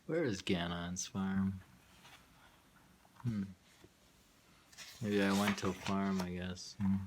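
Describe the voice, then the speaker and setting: Male poet, very low voice, halting and reflective, reading his own verse with murmured asides on a slightly noisy amateur recording. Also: fairly even intonation. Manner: halting, musing, hums to himself between lines. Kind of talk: poetry reading with muttered asides.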